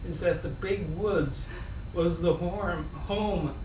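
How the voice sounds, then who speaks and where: A man reads a story aloud in an expressive, playful way, slipping into chatty asides. He is some distance from the mic in an echoey room, with faint background noise. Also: high-pitched, slow pace. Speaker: reader at a live book reading. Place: indoor room at a live reading.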